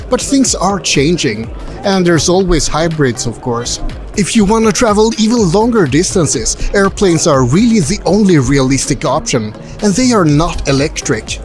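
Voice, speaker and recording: A man in his forties vlogging in a busy indoor car expo hall, chatty and upbeat, asking visitors questions through a handheld mic. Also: on the high side. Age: 40s. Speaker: travel vlogger. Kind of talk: casual vlog with voice-over narration. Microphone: handheld furry mic close to the face.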